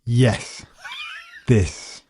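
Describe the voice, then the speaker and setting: A man's voice: extremely slow, dark tone, raised voice. A man co-hosting a casual tech podcast, easygoing and agreeable in a chatty way. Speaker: podcast co-host.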